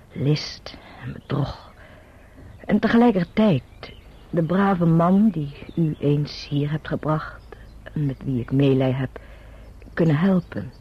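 A muffled radio drama recording of a proud older Dutch lady. Her voice is husky and grave. She speaks formally, with stiff, stately control. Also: low voice, full character voice. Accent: Netherlands Dutch. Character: proud, unbending older aristocratic mother.

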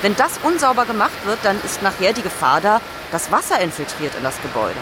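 Female, mid-pitched, matter-of-fact explainer, noisy outdoor recording with traffic. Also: sing-song, fairly quick. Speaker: building project professional.

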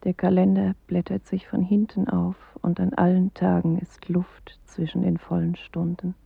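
Hushed, husky solemn inner self. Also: female voice, fairly low pitch, monotone, no echo, narrow, tinny audio, heavily acted. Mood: solemn, detached. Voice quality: breathy, husky. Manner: slow, hushed, solemn recitation. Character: inward female self, a solemn first-person poetic voice. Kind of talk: radio play poetic monologue.

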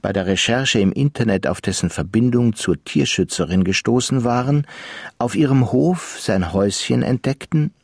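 A man giving measured, matter-of-fact scripted narration in a radio crime play. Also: mid-range voice, expressive, a little mellow, slightly rough, no room sound. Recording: studio radio play with music.

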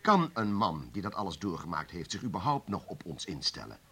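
An actor playing a stubborn, suspicious communist official in a radio play, husky and forcefully argumentative. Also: male voice, low voice, very animated, a little fast, full character voice. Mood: heated, bitter.

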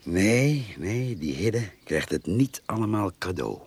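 An actor in a radio play playing a grumbling, plain-spoken and suspicious farmer with a gravelly, husky voice. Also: male voice, on the low side, sing-song, slow pace, a little mellow, full character voice. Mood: grumbling, indignant.

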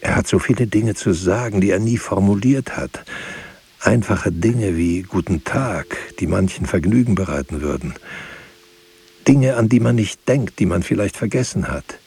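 An actor playing a brooding, weary writer who speaks poetic radio drama monologue in a deliberate, halting way. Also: male voice, expressive, heavily acted. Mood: resigned, searching. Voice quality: gravelly, husky, low. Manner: deliberate, halting, hushed at times. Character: brooding, weary male writer pondering word and truth.

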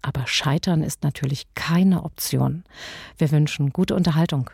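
A woman's voice: low-pitched, normal speed, vocal fry. A woman presenting on radio, reading a scripted introduction in a composed, articulate way. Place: dry broadcast studio.

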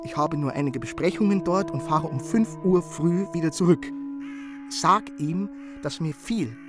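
An eager young messenger who stammers and rushes, voiced by a man. His voice sits a little high and he talks earnestly, stumbling over the first words. Faint music runs behind him in this radio play. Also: natural voice. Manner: stammering, earnest, hurried report. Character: young male messenger, eager, breathless, anxious to please.

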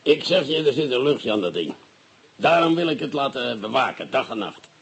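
Husky, blustering police chief. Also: male voice, medium pitch, sing-song, normal speed, heavily acted. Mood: confiding, insistent. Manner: emphatic, blustery, repeats words. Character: blustering superstitious senior police chief, stubbornly suspicious. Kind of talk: Dutch radio play acting.

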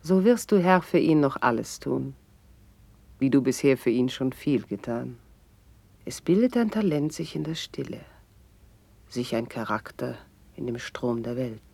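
An eloquent court noblewoman in a radio drama. Her voice is mellow and dark with a husky breath, delivering elevated, poetic verse with poise in a dry studio. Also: very deep voice, expressive, measured pace, no room sound, exaggerated voice. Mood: earnest, hopeful. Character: eloquent, devoted noblewoman, the princess's learned confidante. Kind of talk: classic German radio play, declaimed stage verse.

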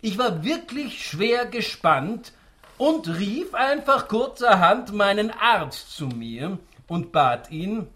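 An actor playing a smug, spry old tycoon patriarch in a rhymed radio play, theatrical and savouring each line. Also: male voice, high voice, very animated, slow, fairly bright tone, exaggerated voice. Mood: sly, gleeful. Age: older.

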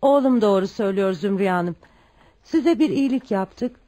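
A woman's voice: medium pitch, expressive, normal speed, dark tone, lightly acted. An actress playing a humble, anxious mother in a radio drama, cautious and earnest in her speech. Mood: proud, firm. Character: humble, proud, worried mother of a poor family.

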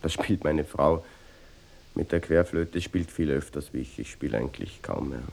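An actor playing an earnest, obsessive amateur clarinetist in a mock-documentary radio play, rambling and interrupting himself in a Vorarlberg Austrian accent. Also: male voice, extremely low pitch, creaky, noisy background. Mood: modest, hesitant. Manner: rambling, halting, self-interrupting, fussy. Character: earnest, obsessive amateur clarinetist hunting a vanished brass band.

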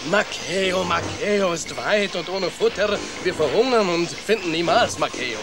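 An actor playing a boastful but timid vagabond rat with a Spanish accent and theatrical broken grammar in a children's radio play. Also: male voice, high voice, lively intonation, unhurried, over loud music. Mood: anxious, boastful.

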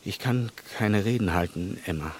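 An actor playing an earnest, plain-spoken, lovestruck English gentleman in a German radio play, speaking haltingly and tenderly. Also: fairly low pitch, slightly rough. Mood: ardent, tender.

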